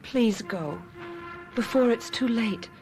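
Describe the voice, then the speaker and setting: A woman's voice: a little high, slow pace, darkish tone, faint music behind. An actress playing a mysterious, guarded young woman with a fatalistic manner in a radio drama. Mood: anguished, foreboding. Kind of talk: 1970s radio drama acting.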